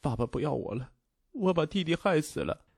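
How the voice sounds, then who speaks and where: A man's voice: mid-range voice, lively intonation, moderate pace, darkish tone, husky, no room sound, studio quality. A man voicing a timid, guilt-stricken young boy in a storybook narration, tender, hesitant and sad. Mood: timid, grieving.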